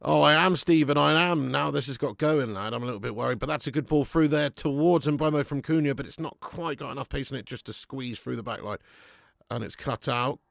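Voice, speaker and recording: A man hosting a live fan watch-along of a football match at home, chatting to viewers with sweary banter and bursting into loud cheering at chances. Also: medium pitch, fairly quick, vocal fry, no room sound, clean studio sound. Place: at home in front of a camera.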